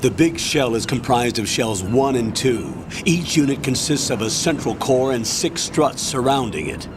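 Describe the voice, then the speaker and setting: An actor playing a stern, authoritative older military colonel in a video game, commanding, measured and formal as he gives a mission briefing. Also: mid-range voice, lively intonation, noisy background, lightly acted. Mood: briefing, businesslike.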